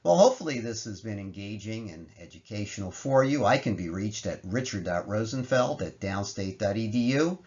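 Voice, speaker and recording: A man's voice: lowish voice, lively intonation, fairly quick, hardly any pauses, a little mellow, highs cut off. A man narrating an explanatory slide lecture in a conversational way, posing questions and answering them.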